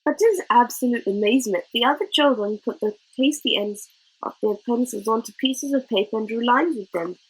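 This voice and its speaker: A woman reading a children's novel aloud as narrator, in an expressive storybook style. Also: on the high side, fairly quick, slightly rough, poor audio.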